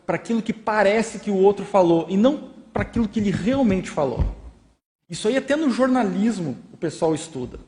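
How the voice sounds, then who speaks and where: High-pitched Brazilian man in a reverberant hall. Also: a little mellow. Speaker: speaker leading a group discussion. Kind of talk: informal lecture and discussion.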